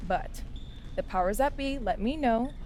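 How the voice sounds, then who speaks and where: A woman playing a brisk, bossy but friendly executive in a video game, with a businesslike manner. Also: on the high side, expressive, a little mellow, soft background music, lightly acted. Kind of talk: scripted video game dialogue.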